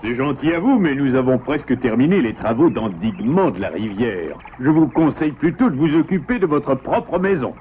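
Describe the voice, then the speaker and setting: Man playing a grave father beaver, lively intonation. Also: mid-range voice, normal speed, few pauses, a little hiss or hum, natural voice. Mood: firm, resolute. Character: earnest adult male beaver, a responsible father-like leader. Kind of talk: French cartoon dubbing. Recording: muffled cartoon soundtrack, loud music.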